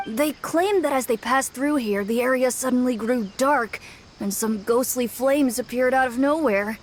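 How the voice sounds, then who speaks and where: A woman's voice: sits high, moderate pace, slight background noise, lightly acted. A woman voicing a nervous young yokai girl. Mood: helpful. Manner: nervous, hesitant, polite. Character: eager young female yokai helper. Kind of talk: video game dub. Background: game soundtrack music.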